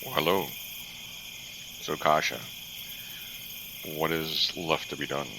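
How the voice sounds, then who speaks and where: Gruff male were-tiger fighter, played by a man, very low gravelly voice, plain blunt words. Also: fairly even intonation, takes it slowly, exaggerated voice. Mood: wary. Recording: noisy.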